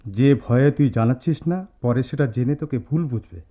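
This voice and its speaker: A man's voice: medium pitch, moderate pace, close to an everyday voice. An actor in a radio drama playing an earnest, loyal young student who tells his friend's story with emphatic, dramatic delivery. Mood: concerned, urging. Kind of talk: Bengali radio drama acting with narration. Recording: dull noisy old radio-play recording.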